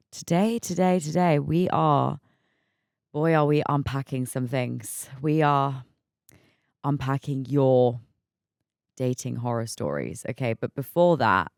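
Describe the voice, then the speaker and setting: A raspy, hungover woman in her mid-twenties hosting her own podcast, chatting candidly, laughing often and repeating words as she talks. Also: rumbling low, darkish tone, no echo, studio quality. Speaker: podcast host. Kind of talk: casual solo podcast chat.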